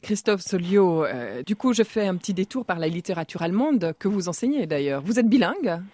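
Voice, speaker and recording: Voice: mid-range voice, fairly quick, no room sound. Un intervieweur qui pose des questions relancées avec précision. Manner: lively, probing questions.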